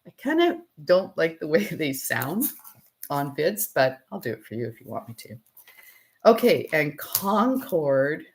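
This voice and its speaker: Woman, middle-aged, very low voice, gravelly, relaxed live chat, laughs a lot. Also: a little slow. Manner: relaxed, laughs often, thinks aloud while working. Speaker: potter and channel host. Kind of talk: casual live-stream chat.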